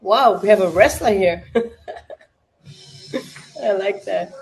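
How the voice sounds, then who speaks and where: A woman's voice: mid-range voice, takes it slowly, darkish tone, faint music behind. A young woman with a German accent, hosting a casual livestream watch party, chatting with many fillers and answering viewers' questions.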